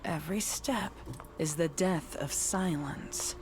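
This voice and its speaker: A woman reading dark horror fiction as scripted narration. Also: sits low, slow pace, noisy background.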